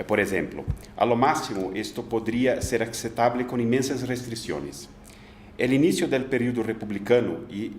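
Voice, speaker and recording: A man whose first language is Portuguese, speaking Spanish with a Brazilian Portuguese accent, hesitantly reading an academic conference paper with many pauses and fillers. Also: mid-range voice, a little mellow, echoey room, slight background noise. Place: conference hall.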